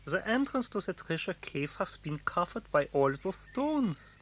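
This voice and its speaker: An actor playing a weak, defensive foreign prisoner in a children's audio drama. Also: male voice, sits high, sing-song, unhurried, fairly bright tone, heavily acted. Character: weak foreign male prisoner, defensive treasure-map reader. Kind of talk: children's radio drama acting.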